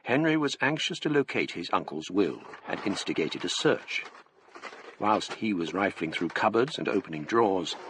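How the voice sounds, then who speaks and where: An actor playing a literary gentleman narrating an eerie tale in a radio drama, with a measured, formal manner. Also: low voice, lively intonation, slight background noise. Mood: reflective, ominous. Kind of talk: scripted first-person radio drama narration.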